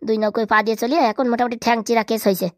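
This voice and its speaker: A man's voice: very high-pitched, level tone, racing, dry recording, studio quality. A man voicing a troubled, remorseful married villager who drinks, grumbling and scolding himself in a comedy cartoon. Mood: remorseful, self-pitying. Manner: grumbling, self-scolding, rambling. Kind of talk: comedy cartoon dialect acting.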